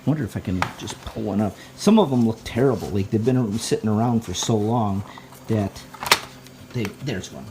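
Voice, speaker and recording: A man giving a casual show-and-tell in a halting, rambling, enthusiastic way, recorded indoors at home on a handheld phone. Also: fairly low pitch, background noise. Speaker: vlogger, tape collector. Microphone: handheld phone near the speaker.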